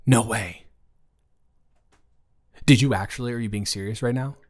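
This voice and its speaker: A man's voice: medium pitch, moderate pace, soft background music, natural voice. A young man playing a friendly, slightly awkward college student in a roleplay audio, talking casually and hesitantly to the listener as a dormmate. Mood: excited, friendly. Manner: rambling, animated, hesitant casual speech.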